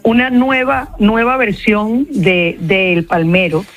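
A woman's voice: medium pitch, slow, no room sound. A woman with a Venezuelan accent, a psychologist answering interview questions in a reflective way and repeating key words for emphasis.

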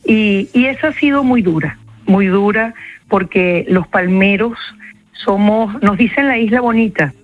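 A woman's voice: mid-range voice, a little slow, no echo, phone-quality sound, soft background music. A woman with a Venezuelan accent, a psychologist answering interview questions in a reflective way and repeating key words for emphasis.

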